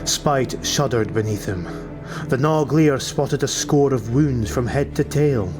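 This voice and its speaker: Male fantasy narrator, mid-pitched, unhurried dramatic read over a clear music bed. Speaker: audiobook narrator.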